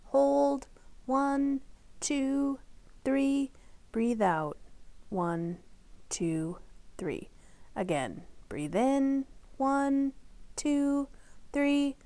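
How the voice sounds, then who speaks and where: A woman reading aloud to children in a careful, deliberate storytelling manner. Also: high-pitched, animated, dark tone. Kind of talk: children's read-aloud narration.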